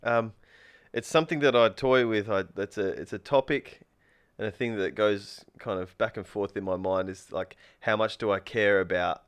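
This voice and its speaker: A man's voice: on the low side, moderate pace, clean tone. A man, a guest on a casual podcast, who thinks aloud in long, wandering answers and restarts his sentences often. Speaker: podcast guest, spearfisher and food truck owner.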